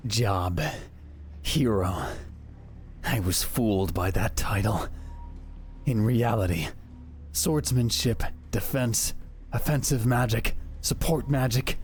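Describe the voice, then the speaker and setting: A man's voice: on the low side, darkish tone. A man voicing a young hero adventurer in a manga dub, casual and sardonic, both narrating and speaking in dialogue. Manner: casual, wry. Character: young male hero-healer adventurer, sardonic, easily flustered. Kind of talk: anime-style manga dub acting and first-person narration.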